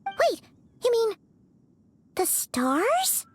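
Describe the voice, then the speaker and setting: An actress voicing a tiny, naive, excitable fairy companion in a cartoonish fantasy game style, squeaky and animated. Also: female voice, drawn-out words, exaggerated voice. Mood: excitable, chirpy. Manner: squeaky, animated, speaks of self in third person. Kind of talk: cartoonish English voice acting in a fantasy video game. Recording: clear game music behind the voice.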